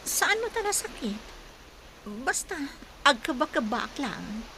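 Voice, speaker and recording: A woman's voice: high-pitched, big pitch swings, slow, pushed and bright, a little hiss or hum, heavily acted, rough recording. An actress playing a schemer's uneasy, weary female partner in a radio drama, speaking fretfully. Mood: nervous, uneasy.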